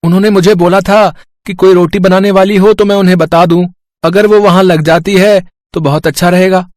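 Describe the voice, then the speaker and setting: A man's voice: high-pitched, steady tone, fairly quick, no echo, clean studio sound, lightly acted. A man playing a helpful, respectful servant at a small eatery in a story. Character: helpful young male hotel servant.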